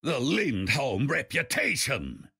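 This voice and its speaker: An actor playing a proud, gruff, boastful engineer in a game, with a rough gravelly voice. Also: male voice, mid-range voice, wildly swooping pitch, takes it slowly, pushed and bright, no echo, clean studio sound, full character voice.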